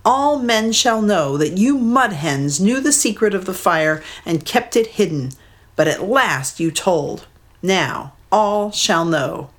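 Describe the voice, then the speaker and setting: A woman's voice: medium pitch, lively intonation, takes it slowly, voice pushed up. A woman playing a stern angry hero. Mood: solemn. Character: angry determined male hero demigod.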